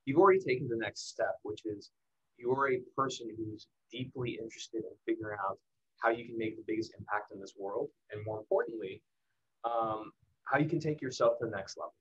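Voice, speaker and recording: An American man giving a casual motivational talk as a guest speaker, candid and conversational with emphatic pauses. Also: on the low side, a bit bright, breathy edge, highs cut off. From El Paso, Texas, United States. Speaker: guest speaker, marketing professional. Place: indoors in the evening, online livestream.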